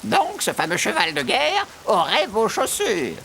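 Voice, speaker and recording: A woman's voice: a little high, huge pitch swings, unhurried, vocal fry, a bit bright, slight background noise, heavily acted. A woman voicing a genteel, easily affronted garden creature in a children's cartoon, with prim, well-mannered speech. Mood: curious, polite.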